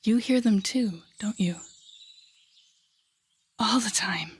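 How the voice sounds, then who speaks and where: Breathy, slow-speaking woman as a telepathic villainess. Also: mid-range voice. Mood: sympathetic, intense. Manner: soft, breathy, often whispered, deliberate dramatic pauses. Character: female telepathic villain, intense, coaxing, secretly tender. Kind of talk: intimate audio roleplay addressed to the listener. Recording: background music under the voice.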